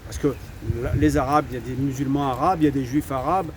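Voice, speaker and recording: A man's voice: mid-range voice, fairly even intonation, fast talker. Hesitant man talking over street traffic. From France. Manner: hesitant, lots of fillers and repeated words, firm assertions. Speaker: vlogger. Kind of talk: opinion talk to camera.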